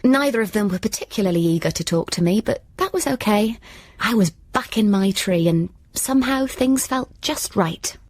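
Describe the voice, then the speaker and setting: An actress playing a wry, sulky daughter narrating her own story, with a British accent and deadpan humour, as scripted comic narration. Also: mid-range voice, normal speed, dry recording, clean studio sound, close to an everyday voice. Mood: wry, content. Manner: deadpan, droll.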